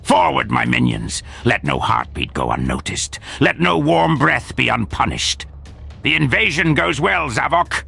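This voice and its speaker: A man voicing a cruel old villain master who speaks in a grandiose, formal way with a gravelly rasp. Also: medium pitch, very animated, measured pace, sharp tone, full character voice. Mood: commanding. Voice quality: very gravelly, raspy.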